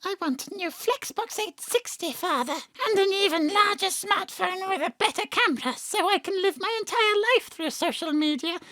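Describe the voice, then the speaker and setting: A woman's voice: very high voice, expressive, normal speed, hardly any pauses, pushed and bright, no echo, heavily acted. A woman playing a demanding, manic, sickly little boy in a satirical audio drama.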